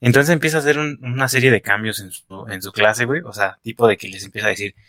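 Chilango dude cohosting, joking around, super slangy, dry close mic. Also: male voice, mid-range voice, quick pace, sharp tone, no echo. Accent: Mexico City. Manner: slangy, joking, says güey a lot. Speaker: podcast co-host. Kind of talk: casual chat.

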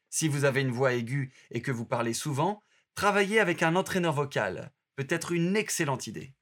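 Man reading an audio lesson. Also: a little high. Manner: steady instructive reading. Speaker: narrator of an audio course. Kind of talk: scripted narration.

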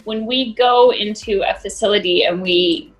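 A woman's voice: medium pitch, normal speed, soft background music, poor audio. A woman guest, a movement specialist, explaining her approach in an earnest, conversational way. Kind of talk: conversational interview answers.

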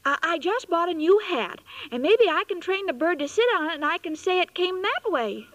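A woman's voice: very high-pitched, animated, normal speed, a bit bright, low-quality recording. A woman acting a sweet, scatterbrained young woman, naive and earnest, in a vintage radio comedy.

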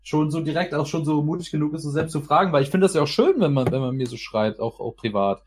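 A man's voice: on the high side, fast talker, slight background noise. A man hosting a casual podcast, talking informally and rambling with repeated starts. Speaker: podcast host, hobby cartoon maker.

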